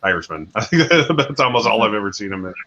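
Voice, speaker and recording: Male panelist, low voice, bright edge, quick jokey asides. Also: sing-song, dull audio. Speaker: panel guest. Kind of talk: casual panel chat.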